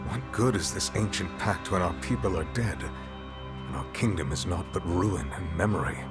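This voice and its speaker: An actor playing a solemn, formal envoy of a queen in a fantasy game, eloquent and measured. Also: low voice, close to an everyday voice. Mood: urgent pleading. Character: solemn, courtly male envoy of a queen, steely beneath courtesy. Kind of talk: cinematic fantasy game acting. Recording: orchestral music behind.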